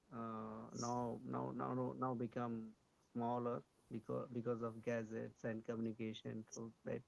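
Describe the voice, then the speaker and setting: A man's voice: mid-range voice, vocal fry, darkish tone, poor audio. A man from India, a Kannada speaker with an Indian accent, talking English over a video call, hesitant with many fillers and restarts. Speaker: English learner. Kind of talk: casual conversation practice.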